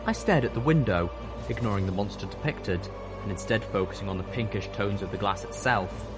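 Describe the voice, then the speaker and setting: Low-voiced man narrating a story. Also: fairly quick. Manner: steady first-person narration. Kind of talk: fan fiction audiobook reading. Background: music.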